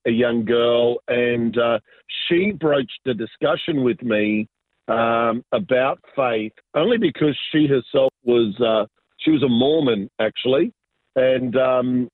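Halting man, thin phone-like sound. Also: mid-range voice, no room sound. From Argentina, raised in Sydney. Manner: reflective, halting, many fillers and restarts. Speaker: guest, business pastor. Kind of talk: casual interview storytelling. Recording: dry, phone-like narrow sound.

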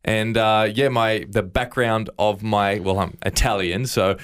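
A man's voice: fairly low pitch, fairly even intonation, unhurried, a bit bright, smooth. A man with an Australian accent, a guest in an interview, earnestly recounting his faith story with frequent stammered restarts. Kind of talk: interview, personal testimony.

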